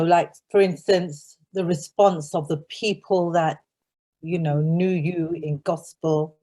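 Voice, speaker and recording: Low, slow, mellow-voiced woman asking questions. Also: poor audio. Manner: searching, rephrases her questions.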